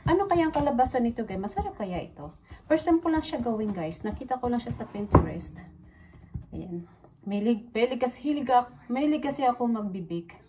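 A woman's voice: a little high, animated, fairly quick. A middle-aged woman with a Filipino accent, chatting casually to viewers as a home baking vlogger, mixing two languages as she goes. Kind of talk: casual chat while baking, Tagalog-English code-switching. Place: small home kitchen, indoors. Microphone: phone at arm's length or propped nearby. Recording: clearly noisy home kitchen recording.

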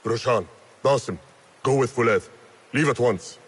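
A man's voice: medium pitch, measured pace, husky. An actor playing a grave male leader of an assassin order in a video game, speaking in a solemn, commanding manner. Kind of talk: cinematic video game acting.

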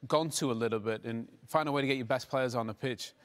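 A man's voice: medium pitch, a bit bright, smooth. A man in his forties giving conversational post-match football analysis in a TV studio, speaking through a lapel mic with lots of fillers. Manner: quick, conversational, filler-heavy. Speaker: studio pundit.

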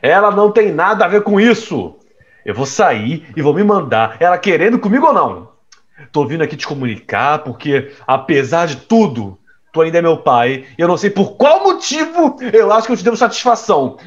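Man acting a defiant, animated young playboy. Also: sits high, normal speed, lo-fi sound. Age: young adult. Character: defiant, reckless young rich playboy son. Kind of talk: audio drama acting.

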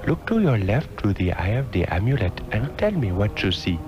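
A weary, deep-voiced old mystic master. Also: male voice, big pitch swings, moderate pace, crowd noise. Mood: grave, fatherly. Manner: solemn, formal, archaic phrasing. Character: aged, weary mystic master, wise and fatherly. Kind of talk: 1960s radio drama acting. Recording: muffled old radio drama, music behind.

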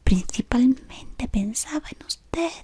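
A devoted, fawning servant of a dark lord, whispered by a young woman right up against the mic. The slow, hushed delivery still rises and dips in a lively way, deferential and eager to please. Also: on the high side, breathy edge, no room sound, heavily acted. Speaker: ASMR roleplay creator. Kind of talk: whispered ASMR roleplay. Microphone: close sensitive mic at face level.

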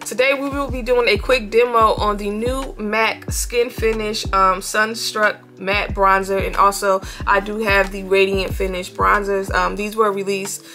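A woman's voice: mid-range voice, a little slow, few pauses, faint music behind. A young woman beauty vlogger with a cold, talking casually to viewers from her bedroom. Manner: relaxed, chatty, addresses viewers as y'all. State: has a cold, sniffly. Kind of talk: casual makeup demo. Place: bedroom, indoors, seated before a headboard.

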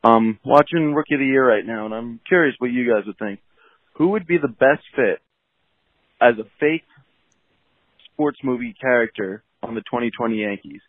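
A man's voice: mid-range voice, normal speed, pauses often, no room sound. A man leaves a friendly, chatty voicemail, asking questions in an everyday mid voice. The sound is thin and phone-like, dry and close. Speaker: voicemail caller. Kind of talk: recorded voicemail. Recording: tinny phone-line voicemail.

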